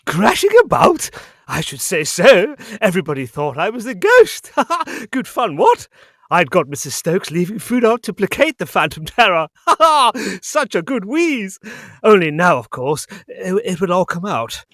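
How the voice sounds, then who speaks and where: Excitable posh English boy, high and swooping, mischievous, for period radio drama. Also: a little slow, no echo, studio quality, exaggerated voice. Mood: gleeful, sheepish. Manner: exclamatory, jolly posh schoolboy slang. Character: excitable upper-class English youth, mischievous and gleeful. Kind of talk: period audio drama acting.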